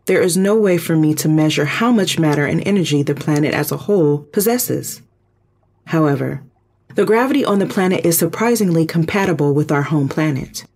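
A performer voicing a calm, precise spaceship computer in an audio drama, speaking formally and literally. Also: medium pitch, brisk, slightly rough. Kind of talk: audio drama, analytical reporting.

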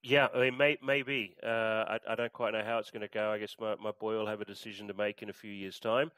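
A man's voice: on the low side, level tone, fast, a bit bright, clean tone, dry recording, low-quality recording. A middle-aged Australian man chatting as a podcast guest, hesitant with plenty of fillers and restarts. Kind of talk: casual interview chat.

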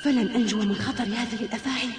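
A woman's voice: on the high side, fairly even intonation, very fast, echoey room, in a large hall, music in the background, close to an everyday voice. A woman voicing a brave young boy kung fu student in a cartoon dub, earnest and emotional. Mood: calm focus. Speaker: hero.

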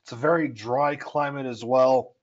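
A man with a dry, scratchy voice, explaining a software tool step by step in a casual, filler-filled way. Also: mid-range voice, fairly even intonation, moderate pace, muffled recording. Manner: explanatory, conversational, with frequent fillers. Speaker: software trainer. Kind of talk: screen-share software tutorial. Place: home or office at altitude.

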